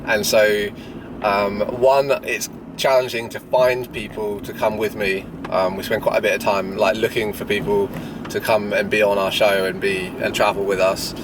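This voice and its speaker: A man in his thirties talks casually as a travel vlogger. His voice sits fairly low and a little distant from the microphone, with clear engine and road noise around him. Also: little pitch movement, normal speed. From Jersey, Channel Islands. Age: 30s. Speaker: travel vlog host. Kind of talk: casual question-and-answer chat while driving. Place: in a vehicle driving outdoors. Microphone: action or small camera in the vehicle. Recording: noisy moving vehicle, voice off the mic.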